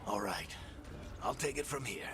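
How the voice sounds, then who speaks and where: A man's voice: fairly low pitch, lively intonation, normal speed, heavy background noise. An actor voicing a loyal, weary dwarf rogue in a video game, wry and earnest as he tries to persuade. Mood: confident. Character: weary, loyal male dwarf rogue pleading with old friend. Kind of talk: dramatic video game acting. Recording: loud music behind. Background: cinematic game music.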